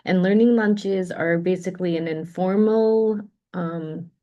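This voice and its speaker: Female event host, warm low voice, chatty, hesitant intro. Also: unhurried, lo-fi sound. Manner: chatty, hesitant, many fillers. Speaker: center director, event host. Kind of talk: welcoming introduction.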